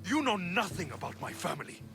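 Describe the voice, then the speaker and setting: A man's voice: high voice, big pitch swings, brisk, creaky, bright, forceful tone, soft background music, heavily acted. A man playing a proud, defiant Greek mercenary hero in a video game, with a Greek accent and a blunt, sardonic manner. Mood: defiant, pleading. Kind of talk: dramatic video game cutscene acting.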